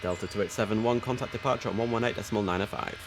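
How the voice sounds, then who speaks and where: A man's voice: on the low side, rapid-fire, over music. A man streaming a game, mixing casual commentary with air traffic control instructions over background noise.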